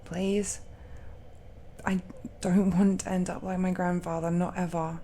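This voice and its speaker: A woman playing an affectionate, possessive dragon-blooded girlfriend in an intimate romantic audio roleplay, speaking haltingly with breathy murmurs. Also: on the low side, level tone, a little hiss or hum. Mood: earnest, pleading. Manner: hesitant, halting, with breathy murmurs and soft laughs.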